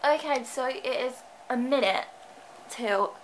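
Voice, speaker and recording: A young woman with a slightly high voice chats to a handheld camera in her bedroom. She sounds stuffed up from a cold and talks in a nervous, rambling way full of "like". A faint hiss sits under the home recording. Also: takes it slowly, low-quality recording. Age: young adult. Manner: chatty, anxious, lots of "like". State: congested from a cold. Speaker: vlogger. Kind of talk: casual vlog chat to camera. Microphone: handheld camera close up.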